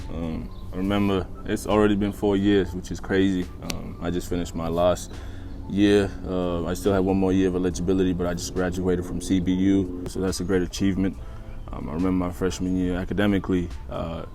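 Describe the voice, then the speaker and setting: A man's voice: sits low, a little mellow. A man in his twenties who learned English as a second language, telling his story casually as an interview guest, often repeating phrases for emphasis. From East Africa and Australia. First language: not English, learned it after moving to Australia. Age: 20s. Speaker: interview guest, college basketball player. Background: background music.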